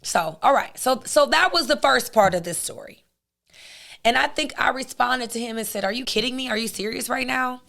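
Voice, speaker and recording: A woman with a hoarse, raspy voice, hosting her own podcast in a casual, outspoken monologue that talks straight to her listeners. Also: on the high side, moderate pace, fairly bright tone. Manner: chatty, outspoken, addresses listeners directly. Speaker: podcast host.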